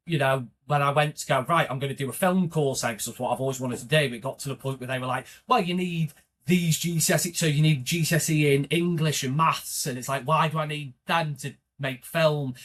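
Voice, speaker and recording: A man's voice: on the high side, fairly quick, a bit bright. A man from North Wales with a North Welsh accent, an indie filmmaker guest who chats in a jokey way and often chimes in. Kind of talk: casual podcast interview.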